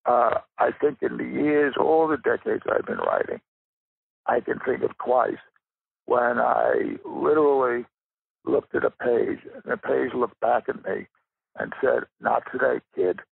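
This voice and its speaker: Gravelly older man on a phone line. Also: mid-range voice. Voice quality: gravelly, husky. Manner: deliberate, halting phrasing. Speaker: interview guest, writer and rare book dealer. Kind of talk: reflective interview talk.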